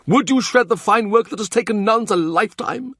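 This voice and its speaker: An anxious court usher pleads in a high, strained voice that rises sharply above the narrator's own, voiced by a man. He is fretful and dismayed. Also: fairly quick, a bit bright, dry recording, studio quality. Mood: distressed.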